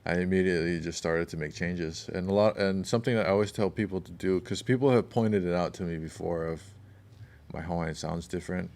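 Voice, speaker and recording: A man's voice: low-pitched, brisk, darkish tone. A man co-hosting a podcast, chatting casually and telling long, rambling stories that sometimes lose their thread. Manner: rambling, storytelling, loses his thread. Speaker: podcast co-host.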